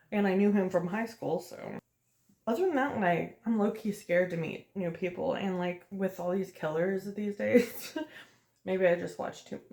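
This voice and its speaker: A woman's voice: mid-range voice, creaky, a little mellow, echoey room. A woman in her late twenties rambling casually to the camera while doing her makeup, chatty and laughing often. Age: late 20s. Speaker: vlogger. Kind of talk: casual rambling get-ready-with-me chat.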